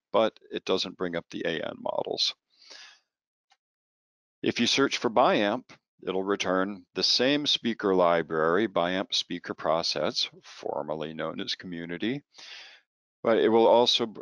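A man presenting software training as a product trainer, informal and halting, often repeating words as he explains. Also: low-pitched, vocal fry, dry recording.

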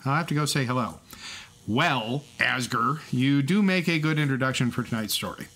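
A man playing a nervous, excitable co-host in scripted audio show banter. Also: medium pitch, moderate pace, rough recording. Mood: amused, hosting.

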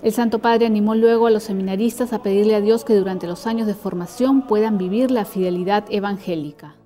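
A woman reading a calm, scripted news voice-over. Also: mid-range voice, brisk, soft background music. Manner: calm, even reporting. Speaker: news narrator.

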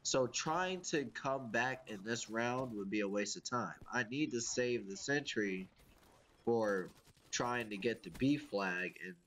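A young man talks over his gameplay with a stuffed-up, sniffly voice from a cold. His delivery is casual and full of swearing, with an exasperated, ranting edge. His pitch sits right in the middle for a man. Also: lively intonation, a little slow, fairly bright tone. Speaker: gaming YouTuber. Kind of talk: gameplay commentary.

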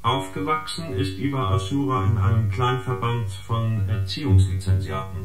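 An actor playing a smug surveillance agent in a radio drama, reporting a dossier with precise, formal, sardonic delivery. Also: male voice, medium pitch, moderate pace, close to an everyday voice. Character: smug, gossiping surveillance agent or unit, dryly needling. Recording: echoing space, loud guitar music.

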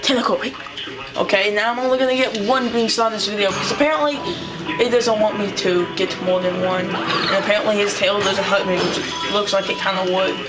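A hoarse man casually commenting on his gameplay as a let's play host, rambling and breaking into frustrated outbursts. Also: sits high, steady tone, fairly quick. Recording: distant mic over loud game music.

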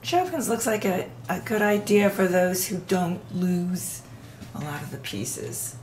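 A woman's voice: on the low side, a little slow, darkish tone. A woman chatting playfully beside a toy demo, adding comments and laughing. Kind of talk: casual chat. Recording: echoey noisy room.

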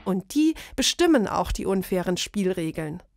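A woman narrating a scripted explainer in a measured, matter-of-fact way. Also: mid-range voice, sing-song, gravelly, music in the background.

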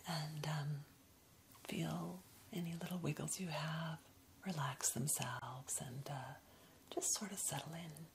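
A woman leads a guided meditation in a breathy ASMR whisper. Her delivery is slow, gentle and soothing, kept low in pitch. There is clear noise behind her voice. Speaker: ASMR host guiding a meditation. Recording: clear background noise, ambient hiss.

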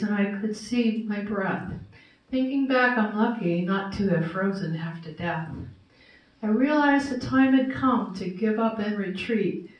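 Older woman reading in an echoey room. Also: mid-range voice. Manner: rhymed, measured reading from a page. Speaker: open mic performer. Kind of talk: poem recital and casual stage remarks. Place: small indoor stage with brick wall. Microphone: distant camera in the audience, stage mic on stand. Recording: echoey stage room, distant muffled camera audio.

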